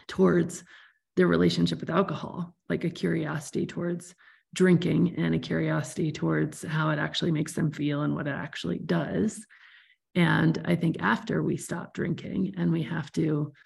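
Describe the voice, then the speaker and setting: A woman with a low, very creaky voice hosts a conversational podcast interview. She sounds husky and speaks in a reflective, appreciative manner. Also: darkish tone. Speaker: podcast host.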